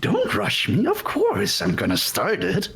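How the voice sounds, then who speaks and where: A cutesy, cruel little bear mascot from a video game. The delivery is theatrical and taunting, leaping wildly in pitch at an unhurried pace, with music underneath. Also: male voice, huge pitch swings, gravelly, a bit bright, full character voice. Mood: gleeful taunting.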